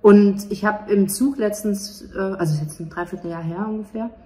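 A young woman speaking casually and spontaneously as an interview guest, halting as she searches for words. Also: medium pitch, darkish tone, far from the mic. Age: young adult. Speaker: stage performer and interview guest. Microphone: handheld interview microphone. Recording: echoey, slightly noisy, off-mic.

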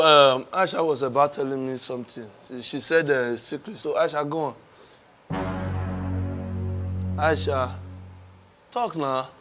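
Insistent Nigerian husband over music played by an actor. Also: male voice, mid-range voice, a bit bright, lightly acted. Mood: coaxing, impatient. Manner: insistent, repetitive. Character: bossy male householder, husband suspecting betrayal. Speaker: actor in a comedy skit. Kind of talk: comedy skit acting.

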